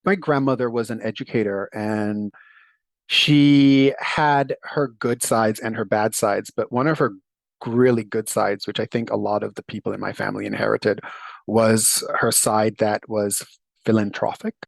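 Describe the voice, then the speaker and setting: A man's voice: fairly low pitch, fairly even intonation, a little slow, vocal fry, no echo. A middle-aged man from Jamaica, telling his story as an interview guest in a thoughtful way that laughs easily. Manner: thoughtful, warm, laughs easily, occasional restarts.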